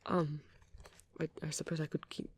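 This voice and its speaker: A man playing a shy young male vampire in an ASMR roleplay, rambling hesitantly and apologetically in a soft, breathy voice that often drops to a whisper. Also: a little high, monotone, no echo. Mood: flustered, earnest. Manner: hesitant, halting, rambling, self-correcting.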